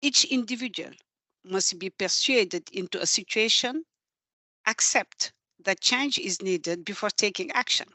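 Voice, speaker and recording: A woman with a Senegalese accent presenting in an earnest, measured, storytelling way in a prepared talk. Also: mid-range voice, pushed and bright, no room sound. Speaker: presenter from a development organisation.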